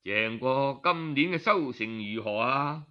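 A man's voice: medium pitch, lively intonation, slow, pitched below the performer's own voice. A man voicing a petty, sarcastic king with a Cantonese accent, drawling mock concern in an oral historical story. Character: petty, sarcastic king mocking his vassal. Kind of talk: storytelling character voice. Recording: dull, lo-fi audio.

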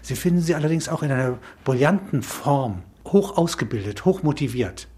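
A man with a husky voice speaks as an interview guest in a spontaneous intellectual discussion, in halting, emphatic phrases with little tag questions. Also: a little high, expressive, darkish tone. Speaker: interview guest explaining a film concept.

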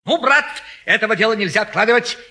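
An actor playing a pushy, meddling friend turned matchmaker in a classic stage comedy, forceful and cajoling with sudden exclamations. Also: male voice, high-pitched, expressive, fast, exaggerated voice. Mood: urging. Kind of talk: classic stage comedy audio play acting.

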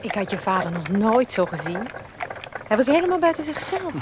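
A woman's voice: fairly high pitch, sing-song, brisk. Inquisitive young woman over vehicle noise. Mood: curious, probing. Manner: conversational, questioning. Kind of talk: radio drama acting. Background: vehicle sound effects.

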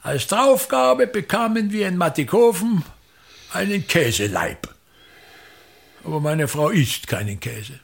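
A pompous old theatre director holds forth in a radio play, grandiloquent and forever complaining. His voice sits a touch high for a man, with a gravelly rasp and a husky, breathy edge. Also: sing-song, a little slow, full character voice. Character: pompous aging male touring theatre director. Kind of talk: radio drama acting.

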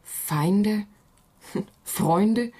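Mellow, guarded, wry hairdresser. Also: female voice, mid-range voice, husky. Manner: halting, dry, trailing off. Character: wry, guarded woman hairdresser, recently bereaved. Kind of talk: radio drama acting.

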